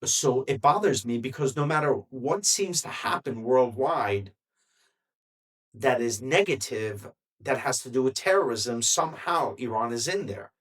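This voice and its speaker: Slow, deliberate man commenting. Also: medium pitch, expressive, a bit bright. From United States. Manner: measured, deliberate, with emphatic pauses. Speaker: commentary vlogger. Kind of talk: solo opinion commentary.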